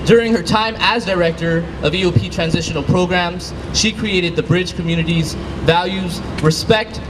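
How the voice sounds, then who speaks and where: A man's voice: sits high, very noisy. A man reads a prepared public address in a formal, earnest manner, speaking for a group.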